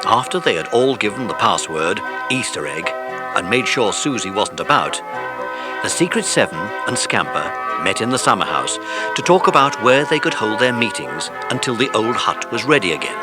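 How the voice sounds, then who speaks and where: Male narrator, children's audiobook, animated intonation, music underneath. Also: on the low side, normal speed.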